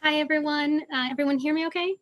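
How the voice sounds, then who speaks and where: High-voiced young woman from Argentina. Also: fairly even intonation, fast, fairly bright tone. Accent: Southern California. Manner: casual, many fillers. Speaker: panelist, geochemist. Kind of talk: online panel talk.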